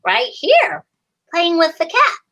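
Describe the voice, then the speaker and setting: A woman's voice: extremely high pitch, sing-song, slow pace, pushed and bright, pitched above the performer's own voice, heavily acted. A woman voicing an innocent, friendly small boy with simple, childlike answers in a radio play. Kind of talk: old-time radio play acting.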